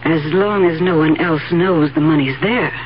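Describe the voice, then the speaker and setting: A woman's voice: low-pitched, moderate pace, close to an everyday voice. An actress playing a greedy, wary woman conspiring over money in a 1970s radio drama, with a probing, urgent manner. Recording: dull old radio recording, faint hum.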